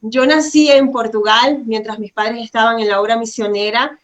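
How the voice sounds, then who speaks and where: Woman, higher-pitched, guest testimony, courteous, devout, conversational, frequent tag questions. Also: fairly even intonation, moderate pace. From Venezuela. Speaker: guest, pastor's wife. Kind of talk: personal testimony.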